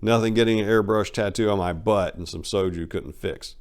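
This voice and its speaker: Male audiobook narrator, slightly low register, expressive first-person storytelling with emphatic swings. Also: normal speed, smooth. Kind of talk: dramatic first-person memoir reading.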